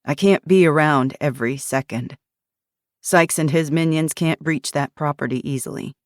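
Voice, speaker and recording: Woman voicing a protective man, grave, measured, deep tone, dry booth sound. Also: dry recording, natural voice. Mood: firm. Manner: grave, measured, urgent warnings. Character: tense protective man, grim and guarded.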